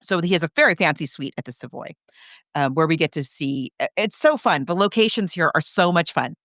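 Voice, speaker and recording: A woman's voice: low voice, brisk, fairly bright tone, no echo, studio quality. A woman hosting a podcast, discussing film casually in an enthusiastic, chatty, emphatic way. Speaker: podcast host.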